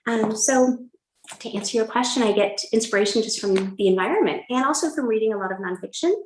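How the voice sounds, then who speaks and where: A woman's voice: a little high, quick pace, slight background noise. A middle-aged woman with an American accent talks conversationally as a guest author, answering interview questions about her work. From Illinois, United States. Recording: roomy, echoing room sound.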